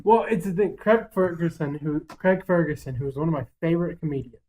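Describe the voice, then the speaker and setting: Brash, higher-pitched male podcast co-host. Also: fairly quick, warm tone, a little hiss or hum, poor audio. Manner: brash, profane, jokey. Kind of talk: casual chat.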